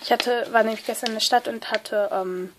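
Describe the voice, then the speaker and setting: A woman with a cold and a stuffy nose, chatting excitedly with lots of fillers as she opens and reads letters at a table. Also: fairly high pitch, fairly quick, background noise. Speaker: vlogger opening a card-swap letter. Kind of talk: casual unboxing chat, reading letters aloud. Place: indoors at a table, hands-only overhead view.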